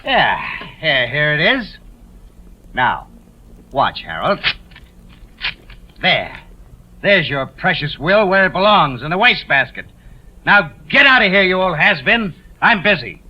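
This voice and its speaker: Blunt hard-boiled agent, higher-pitched. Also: male voice, sing-song, measured pace, pauses often, a little hiss or hum. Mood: scornful, exasperated. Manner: blunt, sarcastic, dismissive. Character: hard-boiled, irritable, down-on-his-luck male publicity agent. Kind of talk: 1950s radio drama acting. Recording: dull vintage radio recording.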